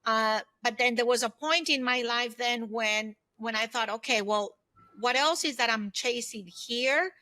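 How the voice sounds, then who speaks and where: A woman in her fifties with a clearly bright, somewhat high voice. She talks reflectively and conversationally, as a guest answering interview questions. The sound is dry, with very little room echo. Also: measured pace. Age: 50s. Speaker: podcast guest, former executive turned solopreneur.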